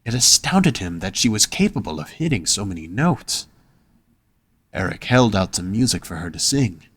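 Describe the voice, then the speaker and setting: Casting: male story narrator, lower register, dry recording, reading scripted prose. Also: big pitch swings, normal speed, fairly bright tone, husky, no echo.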